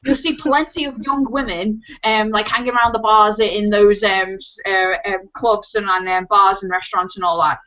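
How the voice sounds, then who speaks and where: A woman's voice: medium pitch, fairly even intonation, moderate pace, hardly any pauses, bright, forceful tone. A young woman with a watered-down Scouse accent, chatting casually as a guest and rambling with lots of ums and restarts. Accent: mild Scouse. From Liverpool, England. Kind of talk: casual chat. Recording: dull lo-fi sound.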